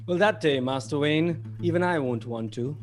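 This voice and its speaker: A man's voice: a little high, normal speed, faint music behind, lightly acted. A man playing a dry, loyal, deferential British butler in a comic table read. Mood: wry, concerned. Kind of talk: online comedy table read.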